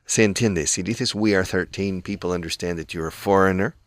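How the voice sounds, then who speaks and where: A man with a low voice teaches English on the radio. He explains phrases patiently, moving between two languages. The studio sound is dry and close. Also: brisk, no room sound.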